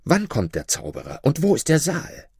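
A man's voice: a little high, huge pitch swings, moderate pace, creaky, husky, no room sound, clean studio sound, pitched above the performer's own voice, exaggerated voice. A man doing a rueful stork caliph. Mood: musing. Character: caliph turned stork, young, sighing ruler.

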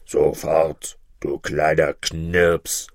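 A man voicing a huge, gruff, gluttonous giant in a storybook, with a booming, blustering, menacing and commanding manner. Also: little pitch movement, drawn-out words, no room sound, clean studio sound, heavily acted. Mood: threatening.

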